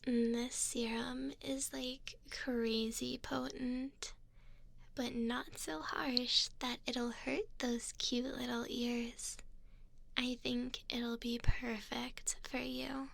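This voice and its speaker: A woman voicing a gentle, doting female elf in a whispered ASMR roleplay, soft and breathy, tender and reassuring, close on a binaural mic. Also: a little high, level tone, slow, full character voice. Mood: soothing, attentive. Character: two doting female elves, gentle caring ear cleaners. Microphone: close binaural ear microphone.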